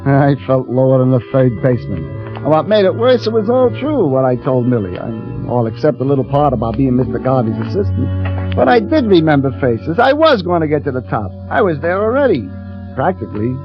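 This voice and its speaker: An actor playing an eager, boastful young elevator operator who gets easily flustered, in 1940s radio style. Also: male voice, medium pitch, very animated, brisk. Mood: wounded, scheming. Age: young adult. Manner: earnest bragging, stammering when flustered. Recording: muffled old radio broadcast with music.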